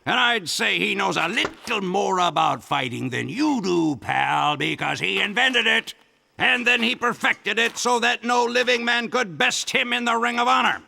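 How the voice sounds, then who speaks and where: Brash military blowhard for a game, gravelly and piercing, lecturing with total confidence. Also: male voice, high-pitched, moderate pace, pushed and bright, soft background music, exaggerated voice. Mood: cocky, fired up. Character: manic loud military man giving absurd pep talk.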